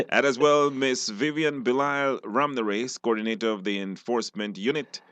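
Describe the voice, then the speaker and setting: A man with a Belizean accent casually hosting a live radio show, halting and repeating words. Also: medium pitch, a bit bright, no echo. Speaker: radio call-in show host. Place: radio studio.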